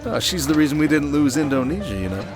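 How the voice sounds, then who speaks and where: A man's voice: a little high, sing-song, fast. Man acting a suave, showy conman over music. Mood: grand, suave. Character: smooth, showy male conman posing as high-rolling heir. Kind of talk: scripted audio roleplay acting. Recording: loud music under the voice.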